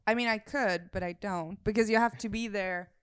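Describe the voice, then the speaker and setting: A woman's voice: mid-range voice, steady tone, normal speed, clean tone. A woman from Sweden, a podcast co-host, chatting casually and playfully, often laughing as she talks. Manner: playful, laughs while talking. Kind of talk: casual chat.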